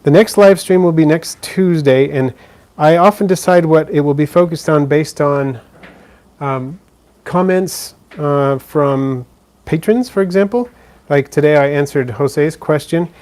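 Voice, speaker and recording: A man's voice: fairly high pitch, darkish tone. A man casually answering viewer questions on a live stream, chatty and easygoing, with plenty of fillers and restarts, filming indoors by a terrarium. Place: indoors beside a frog terrarium.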